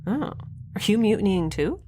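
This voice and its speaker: A woman's voice: mid-range voice, sing-song, moderate pace, background noise, natural voice. Woman playing a candid, flustered young space scientist. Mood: concerned, curious. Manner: hesitant, informal, wry. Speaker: actor in a sci-fi audio drama. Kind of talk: naturalistic audio drama acting.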